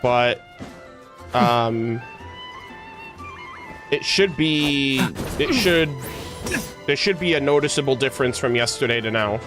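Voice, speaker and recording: A man's voice: mid-range voice, animated, slow, pauses often. A man streaming, chatting casually and rambling as he thinks aloud to his viewers. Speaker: livestreamer, host. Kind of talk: casual livestream chat. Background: music.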